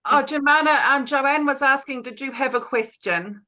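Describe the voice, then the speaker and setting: A woman organising an online meeting over a video call, brisk and cheerful, muttering to herself as she fumbles. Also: high voice, sharp tone. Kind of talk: casual chat on a video call.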